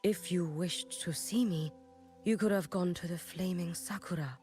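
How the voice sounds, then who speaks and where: A woman acts a solemn warrior priestess in a video game. She is stoic and formal, low for a woman, over soft music. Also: moderate pace, close to an everyday voice. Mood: grave, restrained. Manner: formal, restrained. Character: solemn, stoic, ageless warrior shrine maiden, female. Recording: game audio with music underneath.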